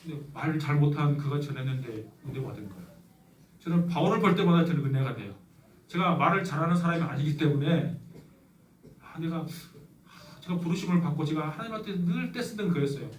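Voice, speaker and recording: Jeolla-accented pastor guy preaching, storytelling and joking, kinda high voice, echoey church from the back. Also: lively intonation, fairly quick, distant mic, a little hiss or hum. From Yeosu, South Korea. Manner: conversational storytelling with light humor. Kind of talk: sermon. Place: church sanctuary. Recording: echoey church hall from afar.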